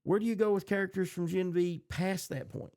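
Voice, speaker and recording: American guy podcast host, higher pitched with a lot of creak, casual tv chat. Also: fairly even intonation, brisk, dark tone.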